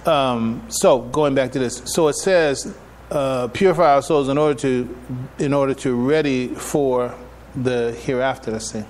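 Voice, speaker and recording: A man giving a public religious lecture as an imam, speaking in a halting, deliberate way and often repeating his phrases. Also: medium pitch, a little mellow, a little hiss or hum. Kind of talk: public religious lecture, partly reading from notes. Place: live public address in a room.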